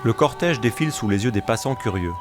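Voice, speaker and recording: A man reading scripted reporter narration for a news report, with loud music behind him. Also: mid-range voice, expressive, quick pace.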